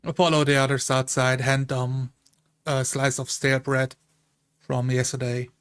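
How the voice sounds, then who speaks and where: Male tabletop player, unhurried descriptive delivery, mid pitch, very dry sound. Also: level tone, a bit bright, smooth, no room sound. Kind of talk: descriptive roleplay narration.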